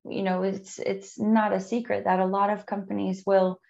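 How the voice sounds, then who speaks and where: A woman who is a native English speaker, hosting a conversational interview in a measured, encouraging way with frequent ums. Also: mid-range voice, little pitch movement, gravelly, darkish tone, muffled recording. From outside the UK. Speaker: podcast host.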